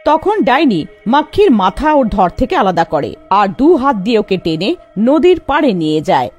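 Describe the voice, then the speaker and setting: A woman narrates a scripted horror fairy tale. Her voice is high and animated, slightly husky, with music playing softly beneath. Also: moderate pace.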